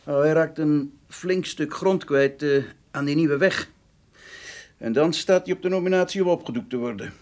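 A man's voice: fairly high pitch, normal speed, a little mellow, dry recording, lightly acted. An actor playing a chatty, blunt countryman with a folksy manner in a Dutch radio drama. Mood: frank, probing. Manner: folksy, talks to himself. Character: countryman called the fox, chatty, blunt, meddlesome. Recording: noisy radio drama recording.

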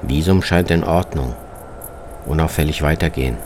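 A man's voice: a bass voice, normal speed, noisy background. A man narrating a scripted first-person travel report, as a reporter, with music behind his voice. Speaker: reporter narrating own radio travel feature.

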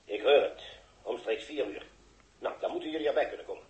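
A man's voice: mid-range voice, brisk, darkish tone, natural voice. A Dutch actor playing an earnest, urgent mission controller instructing a space crewman in a 1960s radio drama, in an echoey recording. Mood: urgent, grave.

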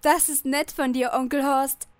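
A woman plays a dutiful young niece in a comic audio drama. Her voice is high and recorded dry, and she speaks with soothing patience. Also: level tone, unhurried, dry recording. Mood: soothing, patient. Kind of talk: parody audio drama acting.

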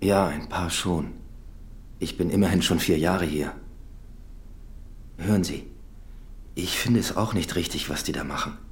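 Deep-voiced, plain-spoken prison doctor. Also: male voice, unhurried, long pauses. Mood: resigned. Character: matter-of-fact male prison doctor, kindly but resigned. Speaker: actor in a radio crime drama. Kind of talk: German radio drama acting.

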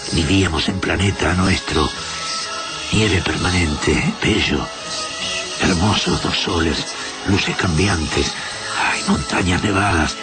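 A man playing a gentle, mournful dying alien slave in a radio drama, speaking halting broken sentences in short fragments. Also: sits low.

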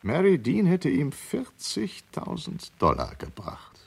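A man's voice: on the high side, wildly swooping pitch, slow pace, vocal fry, breathy edge, full character voice. A man in a radio play, playing an insistent insurance agent who argues his points. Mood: businesslike. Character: insistent male insurance agent, questioning, justifying himself.

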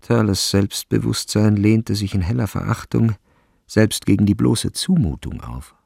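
A man as the sombre, measured narrator of a literary radio play. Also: fairly low pitch, gravelly, a little mellow, husky, dry recording, studio quality, heavily acted. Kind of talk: scripted narration in radio drama.

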